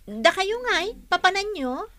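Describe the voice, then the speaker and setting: An actress playing a thrifty, chatty, cheerful married woman in an Ilocano radio drama, expressive and teasing. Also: very high-pitched, measured pace, no room sound, heavily acted. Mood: friendly.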